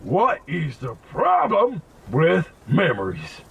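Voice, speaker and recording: A man voicing an eccentric, grandiose inventor and mystic in a video game, raspy, creaky and theatrically verbose. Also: a little high, wildly swooping pitch, slow pace, fairly bright tone, full character voice. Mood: grandiose, excited. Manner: theatrical, verbose, bursts of emphatic exclamation. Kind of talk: video game character acting.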